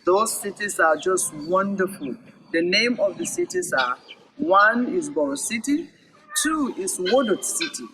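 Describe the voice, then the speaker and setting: A man telling a story aloud in a deliberate, emphatic way, with phrases repeated for weight. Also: high voice, sing-song, bright, forceful tone. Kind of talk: oral storytelling read-aloud. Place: virtual event recording. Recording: clearly noisy recording with clicks.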